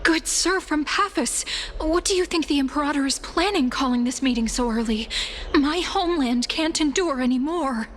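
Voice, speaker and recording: A woman's voice: high voice, normal speed, a little hiss or hum, natural voice. A woman playing an anxious, earnest council delegate in a video game, speaking formally. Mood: worried. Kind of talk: scripted video game drama acting.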